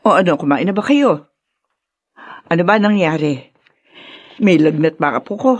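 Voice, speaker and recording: A woman's voice: on the low side, lively intonation, moderate pace. An actress playing a concerned older grandmother in a radio drama, motherly and fussing, given to exclamations. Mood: concerned, soothing. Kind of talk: Filipino radio drama acting.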